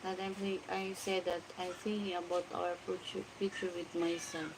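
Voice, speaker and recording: A woman's voice: lowish voice, flat delivery, normal speed, very noisy. A Filipino woman in her forties with a Filipino accent, chatting on a casual late-night home livestream and greeting viewers by name as she reads their comments aloud. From Philippines. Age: 40s. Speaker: livestream vlogger.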